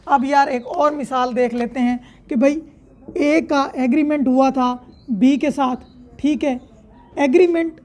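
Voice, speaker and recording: A woman's voice: high voice, darkish tone. A woman with a Pakistani accent lecturing in an explanatory way, reading formal text, then posing questions and answering them. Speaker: law lecturer.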